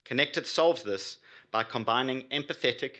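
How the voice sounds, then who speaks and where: Bright-voiced man pitching. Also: normal speed. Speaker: startup founder giving a pitch. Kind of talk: prepared business pitch presentation.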